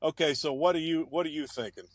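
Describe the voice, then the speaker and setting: A man's voice: a little high, fast, dry recording. A man co-hosting a podcast from his car, laid-back and hesitant, often trailing off. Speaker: podcast co-host and aspiring stand-up comic. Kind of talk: casual banter.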